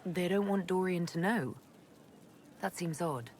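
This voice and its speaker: A woman's voice: fairly low pitch, unhurried, darkish tone, close to an everyday voice. A woman voicing the frank, questioning leader of a fantasy order in a video game, in a direct and skeptical manner. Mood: suspicious. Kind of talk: video game dialogue acting. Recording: clear background noise, game ambience.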